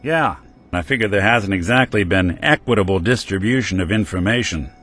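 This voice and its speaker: Tired robot detective from a game, low gruff voice, dry drawl, faint music under it. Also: male voice, expressive, a little fast, a bit bright. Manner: laconic, drawling, gruff noir delivery. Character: world-weary male synth detective, dry and wry. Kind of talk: game companion dialogue.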